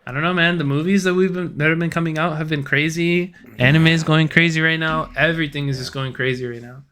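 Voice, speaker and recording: A man's voice: fairly high pitch, fairly even intonation, fast talker, a bit bright. A man co-hosting a casual podcast chat, laid-back and rambling, with a faint Puerto Rican accent and a habit of swearing. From United States. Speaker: podcast co-host.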